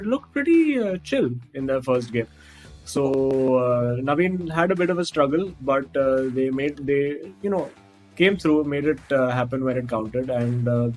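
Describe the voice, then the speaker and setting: A man doing live esports co-commentary on a football video game, talking haltingly with many fillers and restarts. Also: medium pitch, a little mellow, low-quality recording.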